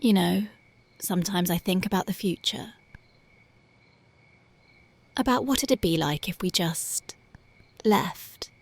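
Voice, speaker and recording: A woman voicing a tough but devoted young punk girlfriend in an ASMR roleplay, talking softly and intimately close to the mic, often dropping to a whisper. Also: fairly low pitch, animated, slow pace, creaky, pauses often, no room sound, heavily acted. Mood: tender, dreamy. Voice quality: soft, intimate, partly whispered. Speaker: voice actress.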